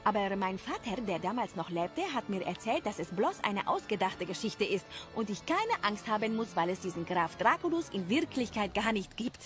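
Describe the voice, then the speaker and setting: A woman playing a bookish young villager who speaks in a gentle, reflective way. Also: mid-range voice, animated, moderate pace, few pauses, over music, close to an everyday voice. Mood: thoughtful.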